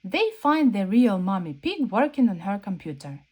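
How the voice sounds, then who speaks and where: A woman reading a children's story aloud in an expressive, unhurried way, with playful touches for preschoolers. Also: mid-range voice, husky. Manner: animated, unhurried, adds playful sound effects.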